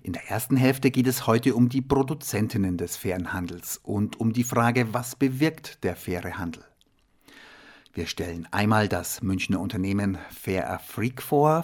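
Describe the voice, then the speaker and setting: A man with a fairly low voice hosts a radio program from the studio. He reads his script in a calm, even, measured way, introducing topics and guests like a practiced presenter. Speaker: radio host.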